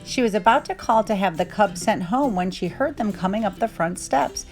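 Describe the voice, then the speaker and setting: A woman giving an expressive scripted read-aloud of a children's storybook as narrator. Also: medium pitch, moderate pace, soft background music.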